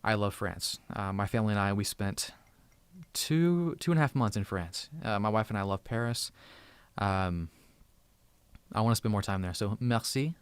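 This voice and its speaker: A man in his thirties chats with his viewers on a live stream from home, upbeat and thankful, often tripping over and repeating words. His voice sits fairly low and sounds dry and close to the mic. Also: moderate pace, smooth, no room sound. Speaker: tech channel host. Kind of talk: casual live stream chat. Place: home room.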